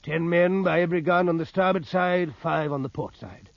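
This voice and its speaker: A man's voice: a little high, normal speed, vocal fry, no room sound. An actor playing a British naval officer who narrates his memories in grave, literary 1950s radio drama style. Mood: grave, vivid. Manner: literary, grave, precise storytelling. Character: male naval officer recalling battle as narrator. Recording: muffled vintage radio recording.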